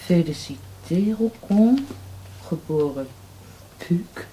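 An actress playing a pensive young niece, murmuring haltingly to herself as she reads in a radio drama. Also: fairly low pitch, lively intonation, noisy background. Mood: pensive, curious. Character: young niece secretly reading family files, pensive.

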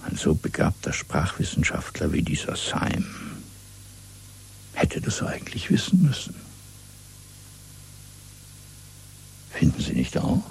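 Husky, deep, commanding party official. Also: male voice, sing-song, heavily acted, poor audio. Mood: urbane, lecturing. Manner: measured, didactic, coolly authoritative. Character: calm, commanding senior party official, secretly conspiratorial. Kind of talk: 1970s German radio play acting.

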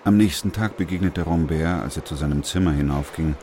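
A sober doctor and chronicler in a literary radio drama. His voice sits exceptionally deep. He narrates in a composed, measured way, as a man recording grim events. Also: warm tone, a little hiss or hum.

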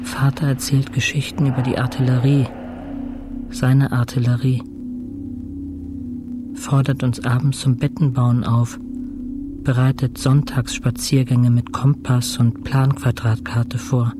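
An actor playing a bedridden, introspective intensive-care patient in a radio drama monologue, speaking in measured, fragmentary short phrases. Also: male voice, mid-range voice, level tone, over music, natural voice. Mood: quiet, remembering.

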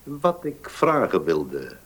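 An actor playing a weary old notary in a Dutch radio drama, courteous, formal, hesitant and deliberate in his speech. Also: deep voice, huge pitch swings, full character voice. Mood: fatherly, advising. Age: older.

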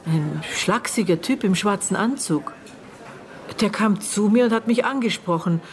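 An actress playing a middle-aged, bitter and guarded aunt in a naturalistic radio drama, speaking in terse, halting phrases as she searches her memory. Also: medium pitch, lively intonation, lightly acted. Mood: searching memory. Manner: terse, halting, broken phrases with thoughtful pauses.

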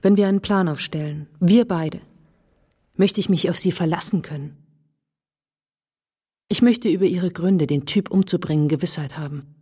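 Policewoman, mellow dark voice, earnest, probing, deliberate and intimate, radio drama. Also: on the low side, husky. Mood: pleading, resolute. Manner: deliberate, intimate, insistent questioning. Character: earnest, probing policewoman challenging a wary man. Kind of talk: German radio drama acting.

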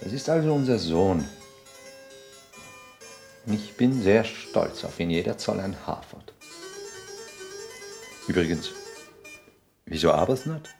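Suave deep-voiced aristocrat. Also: male voice, lively intonation, vocal fry, a little mellow, faint music behind, exaggerated voice. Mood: smug, musing. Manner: polished, measured, condescending. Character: suave, cynical upper-class English lord, cool and self-assured. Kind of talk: 1960s German radio play acting.

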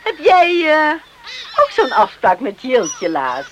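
A woman's voice: sits high, wildly swooping pitch, slow pace, exaggerated voice. A woman playing a teasing, nosy, motherly country woman in a radio play, probing in a hesitant way. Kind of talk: Dutch radio play acting. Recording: noisy old radio recording.

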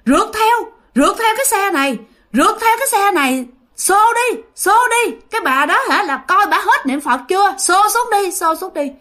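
A woman's voice: expressive, moderate pace, exaggerated voice. Woman putting on a bossy shrieking ghost. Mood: menacing. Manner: shouted, high-pitched commands. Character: bossy gang of night ghosts egging each other on.

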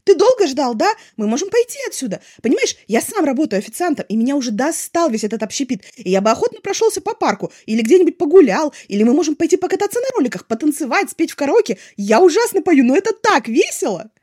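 Bubbly young man, a sunny artist read by a woman; very lively, high, breathless chatter for an audiobook. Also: fast, hardly any pauses. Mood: apologetic, eager. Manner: gushing, breathless, excitable chatter. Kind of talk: expressive audiobook character voice.

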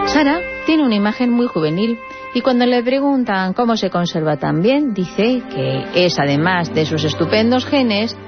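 A woman with an accent from Spain presenting a radio segment as scripted biographical narration. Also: fairly low pitch. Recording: dull radio audio over music. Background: clear background music.

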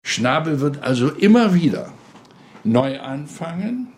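A man's voice: a little high, sing-song, unhurried, darkish tone, slightly rough. A man commenting in a radio feature, telling a life story dramatically in the present tense. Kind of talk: spoken commentary telling a biography. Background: music.

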